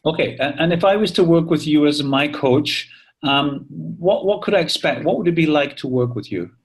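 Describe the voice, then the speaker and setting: A man's voice: a little high, moderate pace. A man interviewing a coach, asking brief, polite questions.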